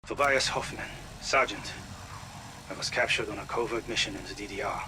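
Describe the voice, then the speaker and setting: A man's voice: fairly quick, close to an everyday voice. A man playing a captured sergeant turned monster hunter, dry and resigned in a game audio log. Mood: cynical, resigned. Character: captured German male sergeant, cynical expendable monster hunter. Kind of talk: dramatic audio log acting. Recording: noisy audio log with engine-like rumble.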